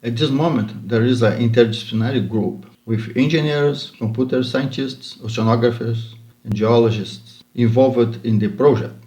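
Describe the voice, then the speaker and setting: A man with a Brazilian Portuguese accent, a Portuguese native speaker giving a prepared conference presentation as a university researcher, speaking in careful, measured, slightly halting English into a home computer mic. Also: mid-range voice, a little mellow, rough recording.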